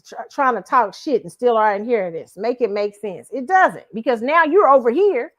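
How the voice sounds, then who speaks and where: A woman's voice: a little high, animated, normal speed, fairly bright tone. A woman hosting her own commentary show, reacting to a clip bluntly and emphatically. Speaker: show host giving commentary.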